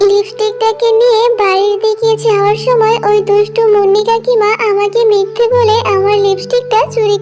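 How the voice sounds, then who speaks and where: A woman voicing a sweet, childlike young fox girl in a children's cartoon, earnest and pleading. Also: extremely high pitch.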